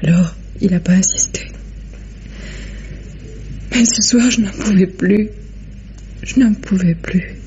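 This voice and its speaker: A woman playing a frightened, weakened victim in an old French radio mystery, speaking in a halting, plaintive way. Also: mid-range voice, dark tone, natural voice. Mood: fearful, weary. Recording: dull old radio recording, faint noise.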